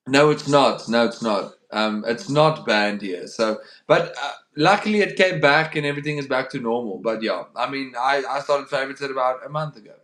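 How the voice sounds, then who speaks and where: A man's voice: mid-range voice, normal speed, few pauses, a bit bright. Afrikaans-accented man, roomy sound. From South Africa. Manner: hesitant, repeats words, says um often. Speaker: livestream host. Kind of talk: casual livestream chat. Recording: roomy echo.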